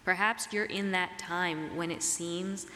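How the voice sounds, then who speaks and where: A woman preacher delivering a reflective, measured sermon, her voice slightly raspy as she gets over a cold. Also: lowish voice, fairly even intonation, bright, forceful tone. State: getting over a cold and flu. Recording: reverberant hall, clear background noise.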